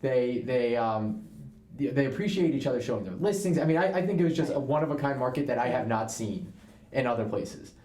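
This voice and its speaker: A young man from New York co-hosts a casual show and chats with quick, enthusiastic energy. His stories pour out fast. The room gives his voice a roomy echo. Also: medium pitch, lively intonation, darkish tone. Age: young adult. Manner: chatty, enthusiastic storyteller. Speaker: co-host, real estate agent. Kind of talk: casual chat.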